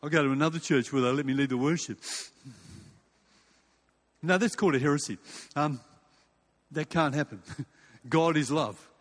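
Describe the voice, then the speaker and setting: A man's voice: on the high side, moderate pace, long pauses. An older man with an Australian accent giving a sermon in an informal, conversational storytelling way, with rhetorical questions and repetition. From Western Australia. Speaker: preacher. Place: large hall or church. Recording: reverberant hall sound.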